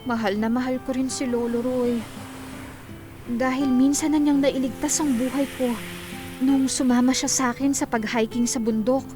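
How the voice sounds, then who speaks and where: A woman playing a gentle young woman in a Filipino radio drama, speaking earnestly and confidingly. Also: sits high, steady tone, normal speed, over music, close to an everyday voice. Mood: tender, reflective.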